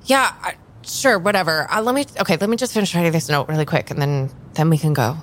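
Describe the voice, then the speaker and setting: Tarot reader for a female actor: blunt, exasperated, sardonic, slightly low pitch, improvised radio drama, faint background rumble. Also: natural voice. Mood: curt, impatient. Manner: curt, exasperated, sighing, halting. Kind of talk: improvised audio drama dialogue.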